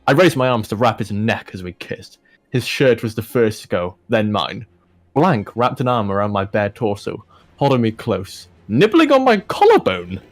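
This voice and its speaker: A man's voice: on the low side, very animated, moderate pace, soft background music. A man doing a jokey read-aloud of fan fiction, dropping in casual asides as he goes. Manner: breaks into laughter and commentary while reading. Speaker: host of a fan fiction reading video.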